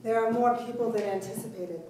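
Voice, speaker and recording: Heard in a very echoey room from a distance, a woman playing an elderly blind soothsayer speaks with formal, hypnotic deliberation. The voice is soft-edged and mellow, grave and commanding, as in a staged play. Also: a little high, a little fast, close to an everyday voice. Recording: echoey room, distant mic, faint noise.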